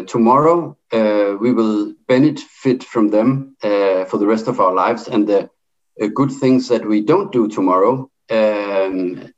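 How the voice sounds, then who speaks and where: A middle-aged man from Denmark with a Danish accent, speaking in an online debate, halting and full of fillers and restarts. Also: on the low side, darkish tone, lo-fi sound.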